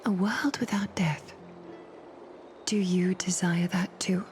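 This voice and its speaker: Game noblewoman: lowish voice, regal composure, stately and formal, gracious authority, music clearly behind. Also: fairly even intonation, darkish tone. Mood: probing, composed. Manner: stately, formal, deliberate phrasing. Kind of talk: scripted video game voice acting.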